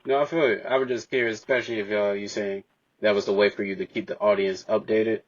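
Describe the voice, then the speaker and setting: A man hosting a casual podcast interview, asking questions in a halting way with pauses. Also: on the low side, steady tone, highs cut off.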